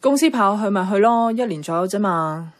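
Woman playing a caring Hong Kong niece, mid-pitched. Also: expressive, brisk, close to an everyday voice. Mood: concerned, evasive. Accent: Hong Kong Cantonese. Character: caring unmarried niece, affectionate and a little evasive. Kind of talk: Cantonese radio drama acting.

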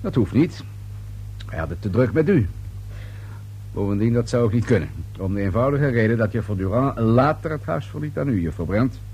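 An actor playing a dry, persistent police inspector in a radio play, questioning in a measured, searching way. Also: male voice, medium pitch, animated, darkish tone, husky. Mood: probing, dry. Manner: measured questioning with hesitant fillers. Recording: hummy, noisy old radio recording.

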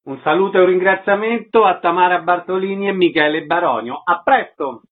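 A man's voice: sits high, a little fast, no echo. A man hosting a radio arts programme, giving scripted introductions and putting questions to guests. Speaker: radio interviewer and host. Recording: narrow, tinny radio sound.

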